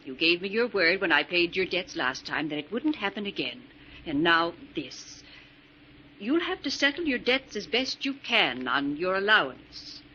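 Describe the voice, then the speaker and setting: An actress playing a wealthy, stern but caring older aunt in an old-time radio drama, speaking in a genteel, formal way. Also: female voice, fairly low pitch, sing-song, normal speed, pushed and bright. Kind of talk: 1940s radio drama acting. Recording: muffled, noisy old radio recording.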